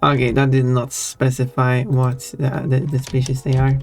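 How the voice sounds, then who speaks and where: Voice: mid-range voice, completely flat delivery, normal speed, darkish tone. A live streamer chatting casually while drawing, rambling and correcting themself with small giggles. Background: faint background music.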